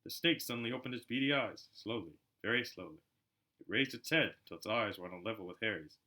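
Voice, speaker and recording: A man reading a storybook aloud as an amateur narrator, in an expressive style. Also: fairly low pitch, fast talker. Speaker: amateur audiobook reader.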